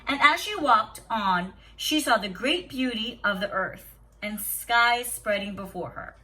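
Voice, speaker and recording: A woman teacher reading a story aloud to young children in an expressive, deliberate, instructive way with dramatic pauses. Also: on the high side, rough recording. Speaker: kindergarten teacher.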